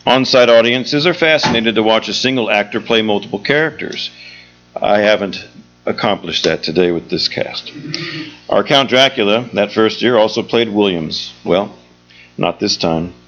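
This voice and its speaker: A man's voice: lowish voice, normal speed, a bit bright, slight background noise. A man speaking as a theatre director and host, giving an informal, joking welcome speech to a live audience. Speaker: theatre executive director hosting a show. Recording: dull lo-fi live recording, music behind.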